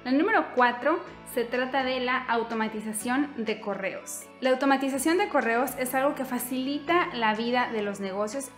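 A young woman hosting her own channel, explaining a topic in an upbeat way straight to her viewers from a room at home. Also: on the high side. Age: young adult. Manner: upbeat, direct address to viewers. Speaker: YouTube host. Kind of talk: scripted vlog explainer. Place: indoor room, seated on couch. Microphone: fixed camera, medium shot. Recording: echoey room with soft background music.

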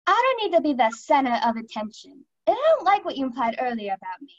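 Indignant, high-voiced young lady guest, woman acting. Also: very animated, fast, lo-fi sound. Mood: offended. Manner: curt, offended. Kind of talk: mystery radio play acting.

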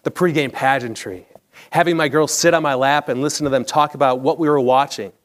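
An American man in his forties reads a scripted personal story on stage in a measured, polished broadcaster-like delivery. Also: mid-range voice. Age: 40s. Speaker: storyteller, former baseball broadcaster. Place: theatre stage, indoors, at a lectern.

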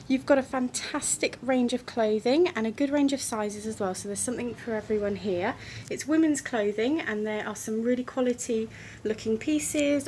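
A woman's voice: a little high, slight background noise. Bubbly English woman touring a shop. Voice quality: slightly muffled by a face mask. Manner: enthusiastic, gushing praise. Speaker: vlogger giving a shop tour. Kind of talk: upbeat walking shop tour. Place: small gift shop indoors. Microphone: phone camera held while walking.

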